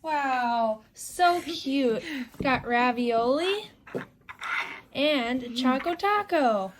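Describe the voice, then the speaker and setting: A woman's voice: high-pitched, lively intonation, very slow, noisy background. A woman narrating a casual toy unboxing for children, using simple phrases and small exclamations.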